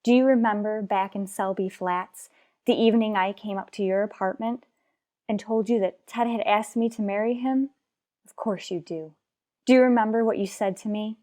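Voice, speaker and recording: Actress playing an anguished wife and mother, mellow voice. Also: medium pitch, fairly even intonation, close to an everyday voice. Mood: regretful, yearning. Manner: earnest, measured, dramatic pauses. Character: anguished, unhappily married mother in a soap opera. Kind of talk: radio soap opera acting.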